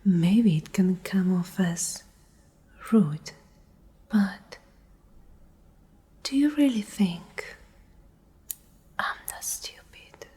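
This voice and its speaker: A woman's voice: mid-range voice, lively intonation, mellow, heavily acted. Woman acting a whispering, menacing female Alpha leader. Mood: sardonic. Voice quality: breathy, whispery, husky. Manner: slow, condescending, coldly threatening. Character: menacing, domineering female Alpha leader of apocalyptic survivor group. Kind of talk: soft-spoken whispered ASMR roleplay.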